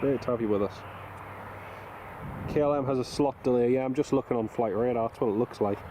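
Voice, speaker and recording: A man's voice: medium pitch, brisk, highs cut off. A man with a stuffy cold, chatting casually to livestream viewers and greeting them by name. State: bunged up from a cold. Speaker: livestream host. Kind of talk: casual live commentary to viewers. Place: outdoors at an airport. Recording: loud outdoor aircraft and traffic noise. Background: aircraft and vehicle noise.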